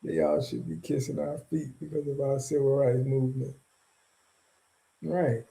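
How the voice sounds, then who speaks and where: A man hosting a casual livestream, reacting to viewer comments in an animated, slangy and mocking way. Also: medium pitch, unhurried, gravelly. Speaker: streamer reacting to viewer chat.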